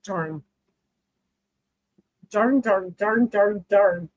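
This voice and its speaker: A woman streaming a card game, chatting and rambling from a hot room at home. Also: very slow. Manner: chatty, rambling, self-described high pitchy voice. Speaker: streamer playing online cards with friends. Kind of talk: casual chat while gaming.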